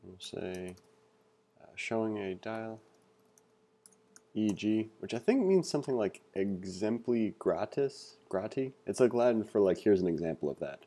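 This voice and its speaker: A man with a lowish, mellow voice leads a casual read-along coding lesson. He talks in a relaxed, easygoing way and thinks out loud with plenty of fillers. He sounds like he is recording a voice-over at his desk. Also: unhurried. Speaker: coding tutor.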